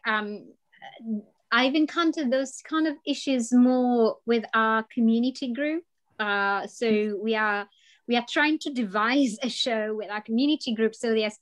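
A woman panellist on a video call, speaking earnestly and searching for words. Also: fairly high pitch. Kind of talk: online panel discussion.

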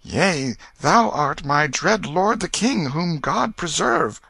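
A man playing a meek, high-pitched pauper boy. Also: lively intonation, slow pace, vocal fry, sharp tone, breathy edge, dry recording, exaggerated voice. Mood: meek, fearful. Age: child figure. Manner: meek, pleading, archaic speech. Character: humble, frightened, earnest pauper boy mistaken for a prince. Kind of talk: audiobook character voice.

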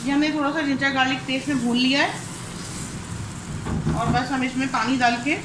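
A woman with a Pakistani Urdu accent and a high voice calmly walks through a recipe step by step. She is recorded on a camera mic over the stove in a roomy, echoing kitchen. Clear sizzling and frying sound behind her. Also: fairly quick. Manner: calm step-by-step explaining. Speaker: cooking channel host. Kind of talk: cooking demonstration voice-over. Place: home kitchen. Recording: echoey kitchen with sizzling noise.

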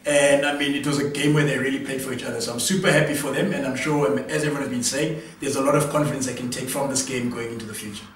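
Measured analytical man, roomy sound. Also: medium pitch, steady tone. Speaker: panelist. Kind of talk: casual studio sports analysis. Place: small studio room, indoors. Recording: roomy sound from a distance, faint noise.

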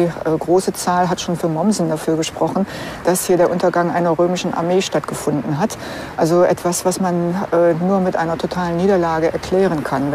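A woman's voice: on the low side, mellow, a little hiss or hum. A woman explaining finds as an archaeologist in an unscripted documentary interview.

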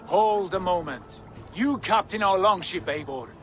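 High-pitched commanding Viking lord. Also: male voice, lively intonation, unhurried, background noise. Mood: resolute. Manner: declamatory, lordly. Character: commanding, confident Viking jarl, a warrior brother. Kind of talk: cinematic video game drama.

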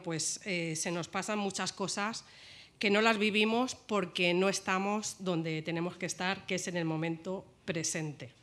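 Middle-aged hotel director, bright tense voice, loose chatty podium speech in a lounge. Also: female voice, medium pitch. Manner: chatty with fillers and restarts. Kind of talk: speech at an event. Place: hotel lounge with large windows. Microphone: podium mic on a stand.

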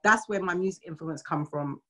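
London woman, english accent, lowish voice, chatty and personal on a video call. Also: moderate pace, fairly bright tone.

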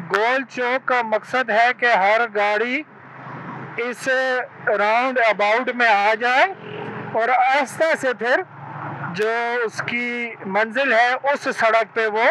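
High-pitched Pakistani man vlogging over road noise. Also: rough recording. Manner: explanatory, addresses viewers directly. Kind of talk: moto vlog commentary to viewers. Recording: loud vehicle and road noise.

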